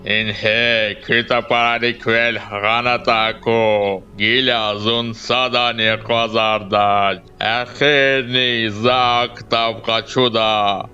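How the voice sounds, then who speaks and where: A man voicing a boastful, menacing dragon in a dubbed cartoon, with a slow, drawn-out delivery. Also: mid-range voice, flat delivery, sharp tone. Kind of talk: cartoon dub acting. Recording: dull sound with background music.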